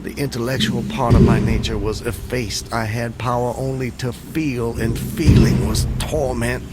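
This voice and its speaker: An actor playing a proud, resolute Southern planter of the Civil War in a radio drama, speaking formally and gravely. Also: male voice, medium pitch, steady tone, moderate pace, over loud music, lightly acted. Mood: anguished recollection. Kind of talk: radio drama acting, narrating and dialogue.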